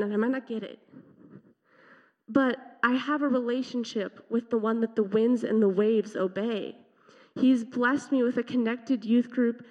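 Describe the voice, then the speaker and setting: A woman sharing a heartfelt church testimony to her youth group, earnest and emotional, with a loud voice. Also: fairly high pitch. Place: church sanctuary. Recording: dull sound with long hall reverb.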